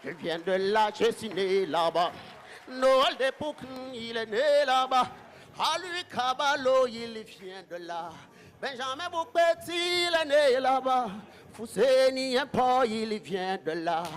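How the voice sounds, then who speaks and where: A man's voice: very high voice, a bit bright. A man giving a spoken-word performance with rhythmic, chanted repetition.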